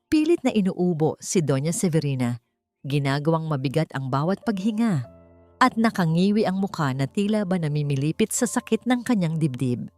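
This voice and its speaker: A woman with a Filipino accent narrating a scripted dramatic story in a solemn, emphatic, deliberate way. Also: low-pitched, darkish tone. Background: background music.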